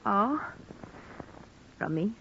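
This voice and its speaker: Flirtatious American noir widow, dull recording. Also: female voice, mid-range voice, lively intonation, extremely slow, gravelly, exaggerated voice. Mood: teasing. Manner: coy, teasing, breezy. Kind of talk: old-time radio noir acting. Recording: dull, noisy vintage radio recording.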